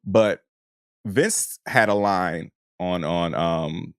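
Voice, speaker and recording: Low-voiced, thoughtful man on a podcast. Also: no echo, clean studio sound. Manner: thoughtful, halting, rephrasing as he goes. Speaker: podcast co-host. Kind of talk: casual, reflective podcast discussion.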